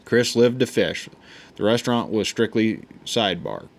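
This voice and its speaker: A man reading a memoir aloud in an unhurried, folksy way, often stumbling and restarting words. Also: on the low side. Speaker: audiobook reader.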